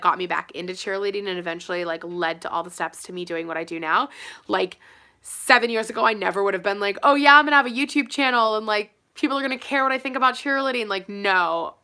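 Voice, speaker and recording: A woman's voice: medium pitch, sharp tone. A woman in her thirties chatting casually as she reads comments aloud, her voice a little nasal from a cold. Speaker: cheer YouTuber answering live chat. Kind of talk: casual chat.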